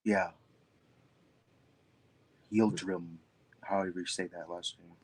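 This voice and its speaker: A man's voice: deep voice, steady tone, slow pace, husky, low-quality recording. A man joking around with a friend in casual voice chat while gaming.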